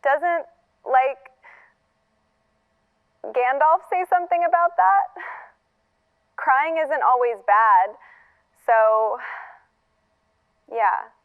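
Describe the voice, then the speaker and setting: An actress playing a wry, tender middle-aged woman leaving a farewell message, reflective and halting, through a narrow playback filter. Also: sits high, full character voice. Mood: tender, proud. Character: wry, tender older woman saying a last goodbye. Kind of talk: recorded farewell message in audio fiction. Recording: thin, phone-like recorded playback.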